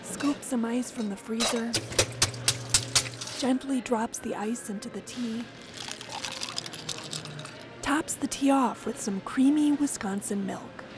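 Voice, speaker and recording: Female radio reporter, scripted feature narration, measured and descriptive, slightly high voice. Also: loud music behind the voice. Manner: measured, descriptive storytelling read.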